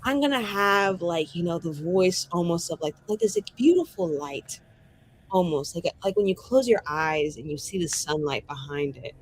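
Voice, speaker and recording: A woman's voice: on the low side, animated, normal speed, a little hiss or hum. A woman telling an improvised tabletop roleplay scene casually and dramatically, colloquial and sweary. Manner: dramatic, profane, colloquial with many "like"s.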